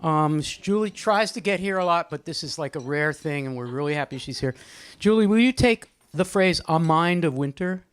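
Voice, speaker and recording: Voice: medium pitch, animated, normal speed, few pauses, smooth, dry recording. Informal, teasing event host, dry close sound. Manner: teasing, conversational, calling on people by name. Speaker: host leading a group poem discussion. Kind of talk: informal live moderating, with some poetry reading. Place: indoor room at a live gathering.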